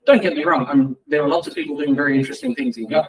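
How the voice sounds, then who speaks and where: A man's voice: fairly high pitch, steady tone, racing. A man speaking informally at a tech meetup, answering questions with hesitant, self-correcting starts. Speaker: conference speaker. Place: indoor meeting room, speaking at a lectern. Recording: distant mic in a meeting room.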